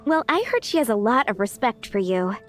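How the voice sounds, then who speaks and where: A woman's voice: a little high, animated, fairly quick, soft background music, lightly acted. A woman playing an earnest, harried, friendly young waitress in an anime video game. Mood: apologetic, worried.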